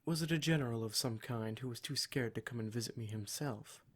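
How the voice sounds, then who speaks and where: A smug male demon, acted out by a man, addresses his captive listener in an ASMR roleplay. His voice sits at a middling pitch. He speaks in measured, formal phrases, condescending and full of menace. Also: husky, natural voice. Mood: calm, smug.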